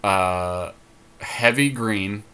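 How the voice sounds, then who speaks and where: A man talking casually through a card game, reasoning and counting aloud in a deliberate way. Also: fairly low pitch, sharp tone, smooth. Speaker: podcast co-host and card game player.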